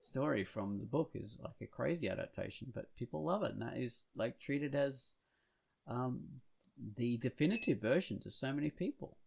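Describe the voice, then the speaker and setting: A man's voice: mid-range voice, expressive, normal speed, vocal fry, a little mellow, husky. A man co-hosting a podcast in casual, rambling chat, opinionated and blunt, with casual swearing. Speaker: podcast co-host.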